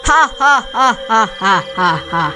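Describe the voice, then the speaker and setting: A woman voicing a vengeful bald witch in a horror cartoon, threatening and cackling with drawn-out words. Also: a little high, lively intonation. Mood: gloating, vengeful. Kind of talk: Hindi horror cartoon voice acting. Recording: music behind the voice.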